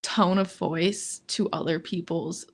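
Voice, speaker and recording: A young woman in her twenties co-hosting a podcast in casual chat, talking in a flat monotone and filling nearly every phrase with "like". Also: takes it slowly, creaky. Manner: says "like" constantly, flat and low-key, laughs often. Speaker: podcast co-host.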